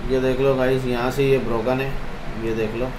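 A man's voice: medium pitch, level tone, normal speed, a little mellow. A man with a Pakistani accent hosting a casual product showcase, asking questions and repeating the answers back for viewers. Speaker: video host interviewing a retailer. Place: small phone shop, indoors. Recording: noisy shop ambience. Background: background noise.

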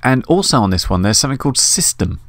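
A man's voice: medium pitch, very animated, fast talker, fairly bright tone, no echo. A middle-aged man narrating a casual tutorial in an informal, explanatory, conversational way. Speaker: host of an RC radio tutorial.

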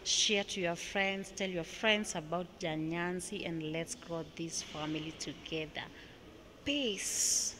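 Slow-talking Kenyan woman vlogger. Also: on the low side, background noise. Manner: slow, chatty, pointing out exhibits. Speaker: vlogger touring a museum. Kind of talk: casual walking tour commentary. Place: indoor museum halls. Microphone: handheld phone camera.

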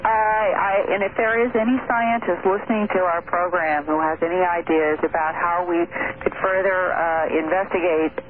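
A woman speaking as a guest researcher on a radio show, earnest, measured and articulate, with formal wording. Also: lowish voice, fairly even intonation. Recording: noisy narrow-band telephone radio line.

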